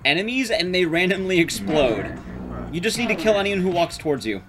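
A man's voice: high-pitched, very animated, a little fast, a crowd in the background. A young man giving casual, sweary, self-deprecating live commentary as he speedruns a video game. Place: small room at home, game sound behind.

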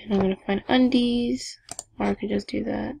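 A young woman chatting casually to viewers while she builds a game avatar, talking to herself with giggly asides in a low evening voice. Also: fairly even intonation, moderate pace, creaky, warm tone, husky. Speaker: gaming vlogger. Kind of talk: casual screen-recording commentary.

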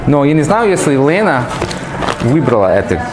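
Lively American man speaking Russian. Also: a little high, reverberant, poor audio. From United States. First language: English. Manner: speaks Russian slowly with simple phrasing and repeats words. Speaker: vlogger answering comments. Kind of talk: casual chat. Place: busy indoor bar. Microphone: camera across the table. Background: loud music.

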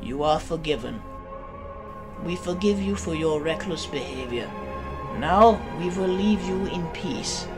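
A man's voice: on the high side, sing-song, measured pace. A man voicing a timid, kind-hearted steam engine in a storybook, hesitant and earnest. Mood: solemn, earnest. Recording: music behind the voice.

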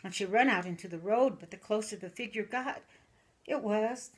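An older woman, a grandmother, telling a folksy story at a slow, animated pace in a living room, recorded by the camera. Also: mid-range voice, noisy background.

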